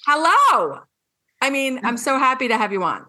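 A woman's voice: high voice, very animated, normal speed, bright, forceful tone. Woman hosting a podcast, effusive and affirming. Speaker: podcast host. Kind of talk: scripted guest introduction, then casual interview chat.

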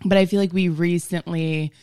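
A young woman hosts a casual podcast from a studio, her voice smooth and clear. The sound is close and dry, with no room around it. She talks loosely, swearing and leaning on "like". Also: lowish voice, fairly even intonation, normal speed, dark tone, no room sound. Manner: laid-back, swears casually, frequent "like". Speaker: podcast host. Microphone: studio mic.

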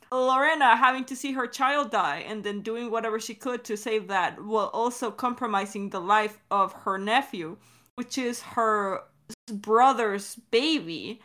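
A woman with a fairly high, bright and forceful voice talks casually about creative work. She is effusive but halting, circling toward her point and swearing freely along the way. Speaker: podcast creator answering questions. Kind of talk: casual behind-the-scenes discussion.

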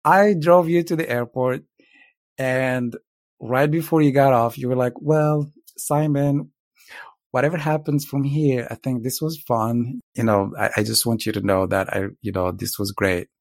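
A man's voice: medium pitch, fairly even intonation, measured pace, no room sound. A man from Morocco casually telling a couple's story, rambling and laid-back with lots of filler words.

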